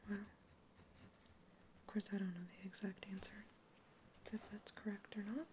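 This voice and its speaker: A woman whispering in an ASMR roleplay as a polite human researcher questioning a captive alien, gently curious and reassuring. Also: medium pitch, little pitch movement, measured pace, lightly acted. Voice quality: soft whisper throughout.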